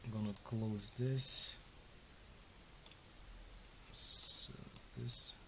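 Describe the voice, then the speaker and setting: A man in his thirties, a native Romanian speaker, casually walking through a software tutorial step by step with frequent ums and uhs. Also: fairly low pitch, fairly even intonation, drawn-out words, vocal fry, slightly rough, low-quality recording. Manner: casual, explains step by step with fillers. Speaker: software demo presenter. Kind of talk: screen-recorded tutorial walkthrough.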